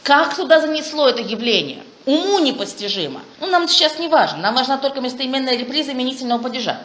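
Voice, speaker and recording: Woman presenter, higher register, enthusiastic academic delivery; echoey conference room. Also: very animated, quick pace, fairly bright tone. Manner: enthusiastic, exclaims over findings. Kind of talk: academic talk with Q&A. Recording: echoey room, faint noise.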